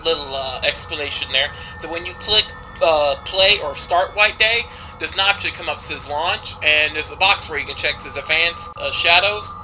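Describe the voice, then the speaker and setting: A man's voice: a little high, moderate pace, background noise. A man casually commentating a game he is playing, rambling and offhand with lots of fillers. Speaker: let's play host.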